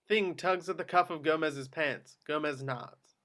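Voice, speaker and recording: A man's voice: a little high, a little slow, fairly bright tone. A man reading scripted action descriptions as the narrator of a screenplay table read.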